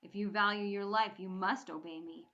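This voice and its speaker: A woman's voice: mid-range voice, steady tone, normal speed, bright, forceful tone, highs cut off, close to an everyday voice. A woman voicing a proud teenage Viking boy who talks in a haughty, commanding way.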